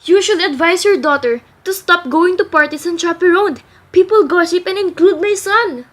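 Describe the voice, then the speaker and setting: A woman's voice: extremely high pitch, normal speed. A woman playing an indignant, haughty gossiping mother who fusses over her son in an amateur radio play. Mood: scolding. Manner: scolding, haughty.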